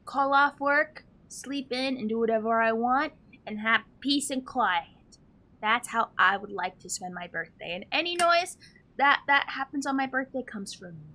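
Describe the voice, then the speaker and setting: Female game livestreamer: very bright, fairly high, informal chatty delivery over soft music. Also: expressive, moderate pace. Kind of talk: casual live stream chat. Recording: stream with game music behind.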